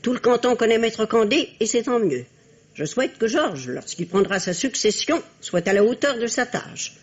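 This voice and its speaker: A woman playing a stern but fond aristocratic old grandmother in a radio drama, speaking in a formal, admonishing, imperious way with a husky voice. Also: on the high side, big pitch swings, normal speed. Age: older. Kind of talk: French radio drama acting. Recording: dull, muffled old radio recording.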